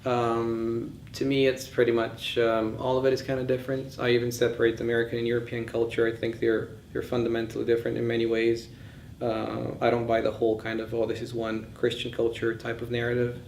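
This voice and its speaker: A man's voice: medium pitch, monotone, brisk, gravelly, darkish tone. Male lecturer, mid-range voice, conversational, opinionated, thinking aloud, echoey room with faint noise. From born in Bulgaria, grew up in several countries. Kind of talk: informal lecture with discussion. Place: indoors in a session room.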